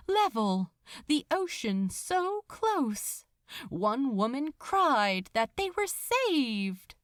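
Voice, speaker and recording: A woman reading a story aloud as dramatic narration, theatrical and suspenseful with deliberate emphasis. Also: fairly high pitch, no echo, studio quality. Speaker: podfic narrator.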